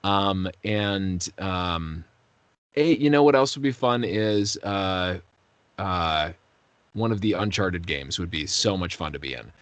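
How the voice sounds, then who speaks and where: A man in a casual interview as a voice actor, rambling and self-deprecating, pausing to search for words. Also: sits low, lively intonation, fairly bright tone, smooth, no echo.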